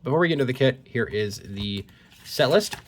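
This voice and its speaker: A man's voice: mid-range voice, expressive, a little fast, slight background noise. A man talking casually while opening trading cards, slightly congested from a cold, reading card text aloud, recorded on a phone. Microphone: phone recording at point-of-view angle.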